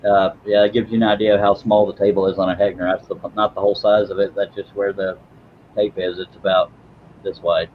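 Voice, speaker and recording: A man's voice: lowish voice, little pitch movement, brisk, narrow, tinny audio, a little hiss or hum. A man hosting a woodworking live stream, chatting casually as he works, rambling and self-deprecating, often repeating his words. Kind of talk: casual live-stream chat while working.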